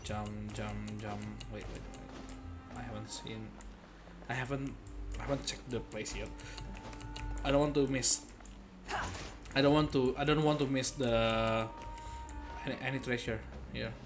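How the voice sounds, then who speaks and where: A young man streams a game with casual commentary. He rambles and repeats phrases in a mid-pitched voice, with game music clearly behind him. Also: steady tone, unhurried, long pauses.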